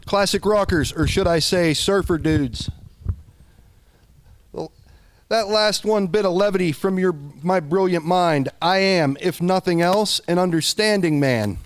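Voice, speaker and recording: A man's voice: high-pitched, unhurried. A man reading a pompous, formal letter aloud.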